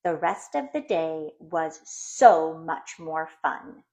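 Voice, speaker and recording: Woman doing kids read aloud, really slow n deliberate, voice a bit on the low side. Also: animated, mellow. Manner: slow, deliberate phrasing for young children. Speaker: storybook reader. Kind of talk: expressive children's read-aloud.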